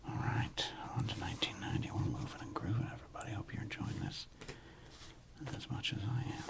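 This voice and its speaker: A man hosting an ASMR video, speaking in a breathy whisper with a gravelly creak, rambling casually as if musing to himself. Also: brisk. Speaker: host of a sports card ASMR channel. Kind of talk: ASMR, unscripted whispered commentary on a card collection.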